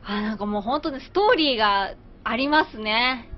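A woman's voice: on the high side, animated, moderate pace, faint music behind. A young woman giving a polite on-location food report as a reporter. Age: young adult. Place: small dim restaurant booth. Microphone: handheld microphone. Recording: dull sound with room noise.

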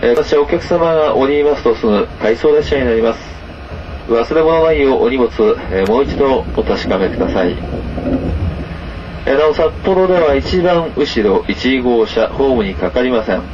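Male train conductor, polite and halting, muffled PA sound with train noise. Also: expressive. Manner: formal, halting with many filler pauses. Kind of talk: onboard train announcement. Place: inside a moving sleeper train. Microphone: camera recording the train's PA speaker. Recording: muffled PA speaker over rumbling train noise. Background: train running noise.

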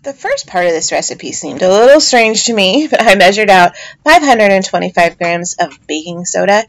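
A woman's voice: medium pitch, vocal fry. A middle-aged woman with a chatty, self-deprecating manner, giving a casual voiceover narration as a home soap maker.

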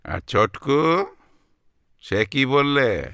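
A man's voice: mid-range voice, animated, drawn-out words, a bit bright, no room sound, studio quality, full character voice. A man playing a bumbling, henpecked husband of about sixty with a West Bengal accent in a comic audio drama, hesitant and plaintive. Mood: bewildered, protesting. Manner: hesitant, plaintive, melodramatic, repeating words.

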